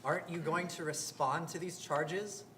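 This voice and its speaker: An actor playing a stern, accusing high priest who interrogates a prisoner in a declamatory, demanding manner in a dramatic reading. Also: steady tone, normal speed, fairly bright tone, natural voice. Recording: faint background room noise.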